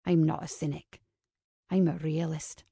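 A woman's voice: little pitch movement, a little slow, gravelly, warm tone, husky, no room sound, clean studio sound, full character voice. A woman voicing a cynical, rakish man from a romance novel, murmuring with sardonic wit. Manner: low murmuring, sardonic delivery.

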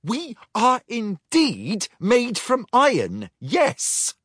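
A man's voice: very high-pitched, huge pitch swings, extremely slow, a bit bright, breathy edge, no room sound, studio quality, pitched above the performer's own voice, exaggerated voice. A man doing a prim, clever robot quartermaster. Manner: prim, fussy.

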